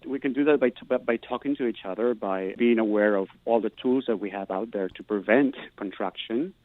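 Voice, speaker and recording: A man's voice: fairly low pitch, fairly quick, no room sound, phone-quality sound. A middle-aged man from Peru, giving earnest, thoughtful interview answers and restarting words as he searches for them. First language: Spanish. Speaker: guest, Spanish professor.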